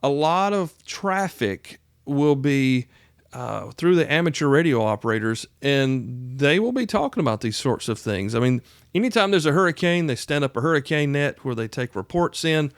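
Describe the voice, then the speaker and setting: A man's voice: medium pitch, sing-song, normal speed, no room sound. Folksy man teaching, very dry close sound. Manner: plain-spoken, folksy, emphatic, checks in with listener. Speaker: podcast host, radio instructor. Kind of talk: conversational instructional podcast.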